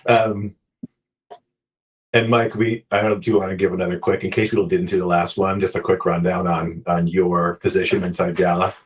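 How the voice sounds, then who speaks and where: A man's voice: low voice, flat delivery, moderate pace, far from the mic, rough recording. A man hosting a casual chat, joking and upbeat as he asks questions. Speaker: host.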